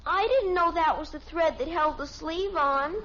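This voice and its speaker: Very high-voiced mischievous little girl. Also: normal speed. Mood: defensive, innocent. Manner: sheepish, literal-minded excuses. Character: mischievous, innocent-sounding little tomboy daughter. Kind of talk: 1950s radio sitcom acting. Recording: muffled, hissy old radio broadcast.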